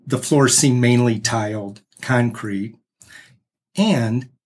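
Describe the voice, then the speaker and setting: Slow, husky man explaining carefully. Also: mid-range voice, a little mellow. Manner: measured, explanatory. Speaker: guest. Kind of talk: casual interview chat.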